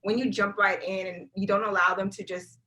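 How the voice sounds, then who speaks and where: A woman's voice: mid-range voice, level tone, a little fast, sharp tone, highs cut off. A woman from South Florida, a guest on an interview podcast, talking energetically and conversationally, often repeating words as she thinks. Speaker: guest, brand videographer. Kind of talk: casual interview chat.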